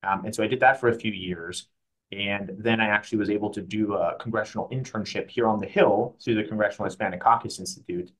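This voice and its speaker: Man, fast talker, conversational, self-correcting, interview guest. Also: lowish voice, steady tone, a bit bright, poor audio. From Mexico. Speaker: guest, private client advisor.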